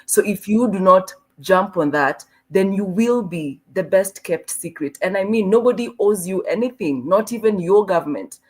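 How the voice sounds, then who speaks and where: A woman's voice: mid-range voice, expressive, normal speed, hardly any pauses, darkish tone. A woman hosting a panel, earnest and making points through analogies. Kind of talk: panel conversation.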